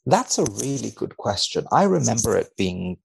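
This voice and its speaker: A man speaking as a researcher guest on a podcast, commenting and reading in measured, formal wording. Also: on the low side, very animated, gravelly, husky, low-quality recording. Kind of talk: conversational commentary and reading aloud from a study.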